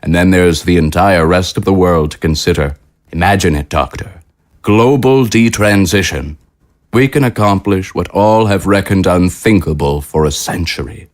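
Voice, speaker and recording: An actor playing a grandiose, smoothly menacing government official in an audio drama, with formal, oratorical delivery. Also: male voice, deep voice, moderate pace, close to an everyday voice. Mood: grandly fervent. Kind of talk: scripted audio drama acting.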